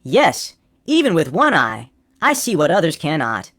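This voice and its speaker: A woman's voice: deep voice, huge pitch swings, a little slow, a bit bright, no echo, studio quality, full character voice. Woman playing a mystical one-eyed seer. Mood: assured.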